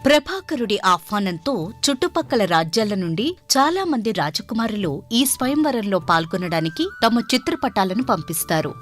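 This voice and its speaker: A woman narrates a scripted story. Her intonation is lively and varied, and faint music plays behind her. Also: medium pitch, normal speed, hardly any pauses.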